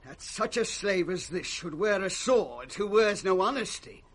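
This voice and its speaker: An actor playing a blunt, quarrelsome old servant in 1960s British radio Shakespeare, scornful and declamatory. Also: male voice, sits high, animated, unhurried, slightly rough, full character voice.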